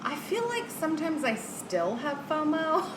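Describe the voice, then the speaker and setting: A woman's voice: high-pitched, sing-song, measured pace. A woman in her thirties chatting casually as a podcast guest, giving advice in a reassuring way. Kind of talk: casual conversational chat. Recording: roomy echo, clear background noise.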